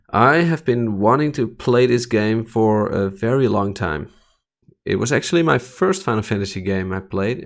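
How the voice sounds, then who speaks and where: A man in his thirties from the Netherlands speaks English with a Dutch accent. His voice sits fairly low. He chats through a gameplay video in a relaxed way, filling the gaps with "uh" and "um". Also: gravelly. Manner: relaxed, chatty, with frequent uh and um. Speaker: let's play host. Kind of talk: casual gameplay commentary.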